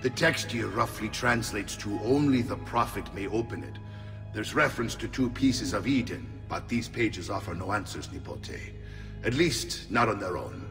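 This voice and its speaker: Swinging, hearty Italian uncle. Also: male voice, lowish voice, lively intonation, normal speed. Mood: pondering, gruff. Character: boisterous middle-aged Italian uncle, hearty mercenary leader. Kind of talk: video game cutscene acting. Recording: long echoing hall, music behind.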